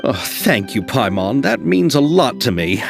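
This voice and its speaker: A man's voice: mid-range voice, sing-song, unhurried, gravelly, over music. A man playing an earnest, flustered young film director in a video game, polite and a little hesitant. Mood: worried. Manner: polite, hesitant, nervously apologetic.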